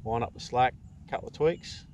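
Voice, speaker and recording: A middle-aged Australian man giving an unhurried, casual fishing tutorial, explaining step by step from a kayak. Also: medium pitch, darkish tone, a little hiss or hum. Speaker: fishing vlogger. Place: outdoors in a kayak on open water.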